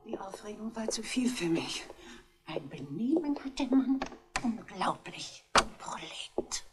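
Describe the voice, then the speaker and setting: A woman's voice: mid-range voice, big pitch swings, slow pace, heavy background noise. An actress playing a genteel, indignant old lady under suspicion in a 1960s German radio crime drama. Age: older.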